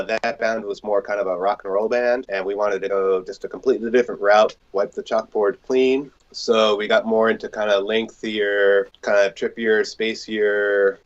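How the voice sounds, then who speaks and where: A man telling band stories casually in an interview, with laid-back slangy phrasing. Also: lowish voice. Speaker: band member, singer and guitarist. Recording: dull, lo-fi recording.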